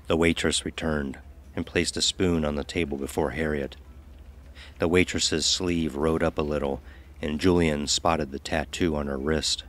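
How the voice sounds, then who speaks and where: A man narrating a scripted dark audio story. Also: extremely low pitch, fairly even intonation, moderate pace.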